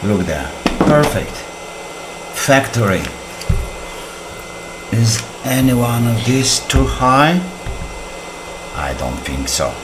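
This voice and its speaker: A man who speaks English as a second language, giving casual hands-on repair commentary as a channel host, haltingly thinking aloud while he works. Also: medium pitch, sing-song, very noisy. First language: non-native English speaker.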